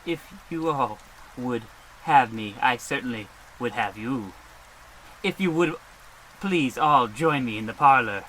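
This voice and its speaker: A creepy, genteel horror novelist played by a man, medium in pitch with a crisp, forceful edge. He speaks formally and mysteriously, as in a vintage radio comedy. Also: animated, a little slow, a little hiss or hum, low-quality recording. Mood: mysterious, courteous. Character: creepy, genteel horror writer, mother's boy.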